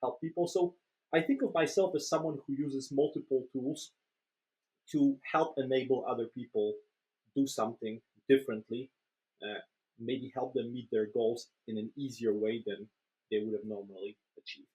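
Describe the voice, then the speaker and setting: A man's voice: mid-range voice, fairly even intonation, normal speed, dark tone, poor audio. A man from Poland, explaining conversationally as a guest, hesitant and repeating words as he goes. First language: Polish. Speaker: interview guest, professional trainer.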